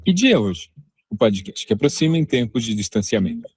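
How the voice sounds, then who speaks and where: Guy doing podcast credits, scripted read, lowish raspy-ish voice. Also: big pitch swings, fairly quick, darkish tone, dry recording, studio quality. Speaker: podcast presenter.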